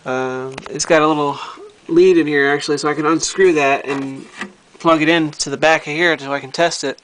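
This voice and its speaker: Male hobbyist vlogger, slightly high voice, unscripted and halting show-and-tell, lightly noisy homemade audio. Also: fairly even intonation, a bit bright. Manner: halting, thinking aloud while handling the object. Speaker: hobbyist vlogger showing a radio repair project. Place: indoors at home.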